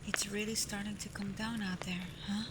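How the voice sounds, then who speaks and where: A woman voicing a caring, protective female alpha werewolf in an intimate ASMR roleplay, speaking softly, often near a whisper. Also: mid-range voice, little pitch movement, moderate pace, very noisy, close to an everyday voice. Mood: gentle concern. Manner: soft, breathy, often near a whisper, soothing. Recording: loud storm ambience over the voice. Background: thunderstorm and rain ambience.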